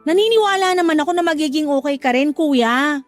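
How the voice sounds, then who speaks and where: A woman's voice: high voice, fairly quick, no echo, close to an everyday voice. An actress playing an outspoken, loyal young sister in an audio drama, who scolds her brother bluntly, comforts him tenderly, and narrates her own letter. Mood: reassuring. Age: young adult. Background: soft music under dialogue, louder music under narration.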